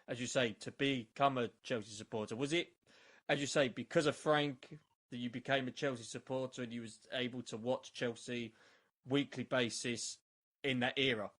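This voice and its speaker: A man's voice: mid-range voice, steady tone, normal speed, fairly bright tone. A man hosting a podcast interview, asking leading questions with enthusiasm. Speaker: podcast host.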